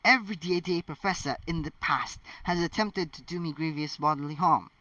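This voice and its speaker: A man's voice: on the high side, fairly quick, sharp tone, no echo, voice pushed up. A man reading a storybook's teenage schoolboy, enthusiastic and cheerfully matter-of-fact. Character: eager teenage schoolboy, cheerfully and absurdly logical. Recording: distant mic, dull lo-fi sound.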